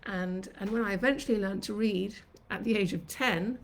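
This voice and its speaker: A woman in her fifties, originally from Sri Lanka, talking on a live stream from her home kitchen. She reminisces at length in a chatty, reflective way, recalling her childhood and early years. Her pitch sits in the middle range for a woman. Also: moderate pace. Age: 50s. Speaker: host of her own channel. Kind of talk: live-streamed personal storytelling.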